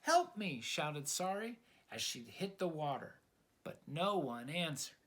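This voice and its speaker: A man reading a children's story aloud in an expressive, dramatic storytelling style. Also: fairly high pitch, unhurried. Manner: dramatic, asks the listener questions.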